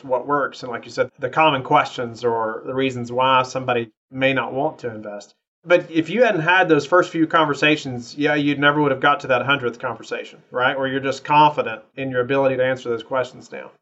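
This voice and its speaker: A man's voice: medium pitch, steady tone, brisk, a bit bright, highs cut off. A man hosting a podcast interview, encouraging his guest and prompting him with follow-up questions. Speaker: podcast host.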